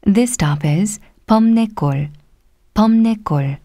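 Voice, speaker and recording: A woman giving scripted subway announcements in a formal, deliberate way. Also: mid-range voice, lively intonation. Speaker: English-language subway announcer. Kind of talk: scripted public transit announcement.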